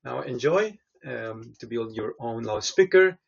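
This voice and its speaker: A man, a professor giving step-by-step instructions in a halting, hesitant way. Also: medium pitch, animated, vocal fry, husky, highs cut off. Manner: halting, hesitant delivery with frequent pauses. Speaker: professor, tutorial presenter.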